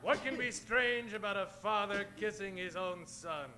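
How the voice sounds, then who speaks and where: A man's voice: high-pitched, unhurried, pushed and bright, a little hiss or hum, heavily acted. A man playing a pompous, bumbling, bigoted Western hero in a comic old-time radio play. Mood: self-important. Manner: hammy, pompous.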